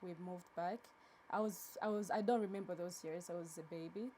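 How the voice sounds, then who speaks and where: Soft, low-voiced woman guest. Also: brisk, slight background noise. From Uganda. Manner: relaxed, soft-spoken storytelling with fillers. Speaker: interview guest, charity co-founder. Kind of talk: casual interview.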